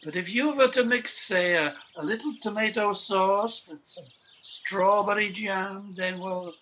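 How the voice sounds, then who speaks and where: An actor playing a genial but secretive professor in a radio play, with a courteous, theatrical and halting delivery. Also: male voice, sits high, bright, forceful tone, highs cut off, a little hiss or hum. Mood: sly, conspiratorial. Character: odd, genial bird-shop professor secretly supplying saboteurs with bombs.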